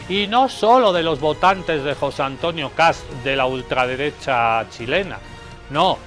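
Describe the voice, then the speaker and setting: A man from Bolivia giving opinionated political commentary, indignant and emphatic, reeling off place names in a steady rhythm. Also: mid-range voice.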